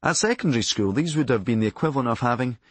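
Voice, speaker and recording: Husky Glaswegian man narrating, swooping pitch. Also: animated, racing, no room sound. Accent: Scottish, Glasgow. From Glasgow, Scotland. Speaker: audiobook narrator and author. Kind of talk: scripted memoir narration.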